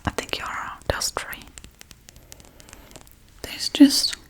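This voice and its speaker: A woman playing a scatterbrained, bossy witch in a whispered ASMR role-play, with breathy, casual, deadpan delivery. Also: drawn-out words. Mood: teasing, musing. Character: scatterbrained, bossy Halloween witch tending her captive.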